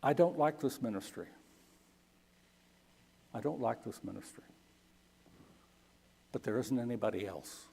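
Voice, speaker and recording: A man's voice: medium pitch, lively intonation, unhurried, vocal fry, long pauses, warm tone, slight background noise. Folksy man in a reverberant hall. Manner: folksy, keeps asking "okay?". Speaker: missionary speaker. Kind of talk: Sunday school talk. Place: church hall. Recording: long reverb of a large hall.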